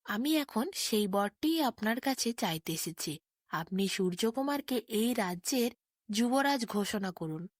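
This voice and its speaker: A woman's voice: a little high, animated, a little slow, no room sound, studio quality. A woman voicing an ambitious, scheming younger queen in a fairy tale, formal and insistent. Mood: purposeful.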